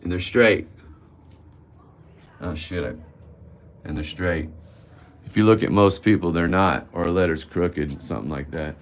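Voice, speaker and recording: A man's voice: low-pitched, unhurried, pauses often. A man around fifty hosting a casual live-stream Q&A, candid and blunt, rambling and swearing casually. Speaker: livestream host answering viewer questions.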